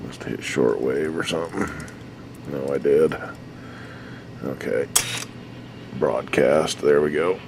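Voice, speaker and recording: Middle-aged guy with a really deep slightly hoarse voice, lots of uhs, noisy workshop with humming in the back. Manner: halting, with many fillers. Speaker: radio repair hobbyist. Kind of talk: casual workbench chat.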